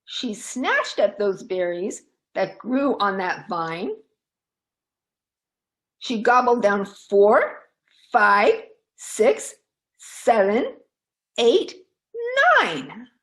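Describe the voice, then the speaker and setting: A woman storyteller reading aloud for children in a dramatic, deliberate, expressive way. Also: on the high side.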